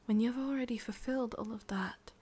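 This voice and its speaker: A woman with an English accent voicing an ancient, possessive, wistful witch in a slow, intimate, breathy ASMR whisper. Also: a little high, dark tone. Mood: melancholy, musing. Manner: slow, intimate, musing, with lingering pauses. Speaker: ASMR roleplay performer. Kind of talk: whispered ASMR roleplay monologue.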